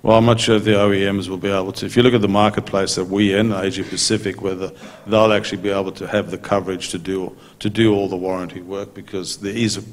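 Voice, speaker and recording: A middle-aged man answers from a conference stage in a low, flat, measured voice. He holds a handheld mic, and a long reverb from the large hall trails each phrase. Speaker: maintenance company executive on a panel.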